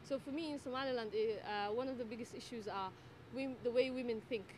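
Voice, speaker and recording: A woman from the Netherlands talks through her work as a midwife. She answers in a measured, thoughtful way, with frequent pauses and fillers. Her voice sits a little on the high side, and clear background ambience runs under the recording. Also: darkish tone, a little hiss or hum. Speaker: midwife and tutor. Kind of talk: interview answers.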